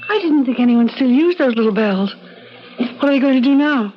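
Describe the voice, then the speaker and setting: High, fretful, gushy american woman, old radio play, muffled sound. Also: expressive, a little fast. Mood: delighted, curious. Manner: emphatic, fretful, gushing. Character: excitable, anxious, sentimental woman traveler. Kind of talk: mid-century American radio drama acting.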